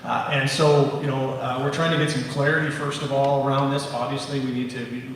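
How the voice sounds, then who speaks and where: A man, a sitting MP, giving a measured answer at a candidates' forum. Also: mid-range voice, flat delivery, slight background noise. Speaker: sitting MP running for re-election. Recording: echoey hall, mic from far off.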